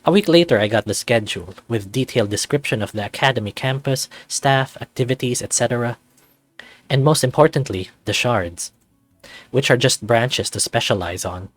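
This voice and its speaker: A man's voice: medium pitch, moderate pace, no echo, soft background music. A young man reading first-person visual novel narration in a cocky, wry, conversational manner.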